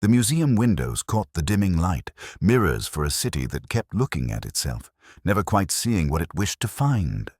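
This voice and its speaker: A man with an extremely deep voice narrates a detective story. His delivery is measured and literary, with a brooding air and plenty of melodic movement. The sound is dry and free of room. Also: big pitch swings, darkish tone, breathy edge, no room sound. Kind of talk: scripted first-person detective story narration.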